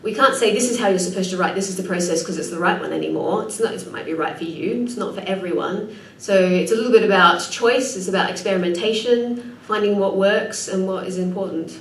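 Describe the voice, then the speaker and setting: A woman presenter at a conference, talking quickly and thinking aloud with fillers while answering questions. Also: medium pitch. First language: English. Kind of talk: conference talk and Q&A. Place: conference room. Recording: echoey room, distant mic, faint noise.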